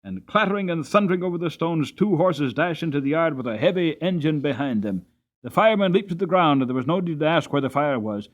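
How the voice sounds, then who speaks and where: A man narrating an audiobook as a dramatic, expressive storybook reading. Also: fairly high pitch, quick pace.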